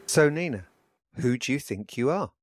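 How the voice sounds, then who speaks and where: A man hosting a podcast, asking questions in a relaxed, conversational way with light joking asides. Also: mid-range voice, normal speed, music in the background. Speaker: podcast host. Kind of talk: conversational interview.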